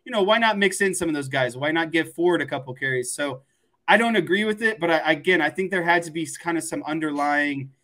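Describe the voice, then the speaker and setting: A man's voice: fairly high pitch, quick pace, fairly bright tone. A man co-hosting a sports talk show, giving his opinions in a rambling, conversational way. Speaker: sports podcast co-host. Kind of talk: casual sports commentary chat.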